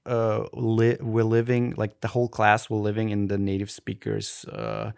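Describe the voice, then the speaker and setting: A man's voice: on the low side, moderate pace, creaky, darkish tone, clean tone, dry recording, clean studio sound. A man from Austria with an Austrian German accent, co-hosting a casual podcast chat and often restarting as he searches for words. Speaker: podcast co-host.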